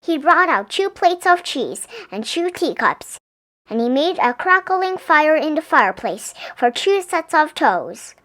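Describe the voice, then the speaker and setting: A woman's voice: sits high, sing-song, measured pace, a bit bright. A woman reading a picture book aloud expressively for young children. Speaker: storybook reader.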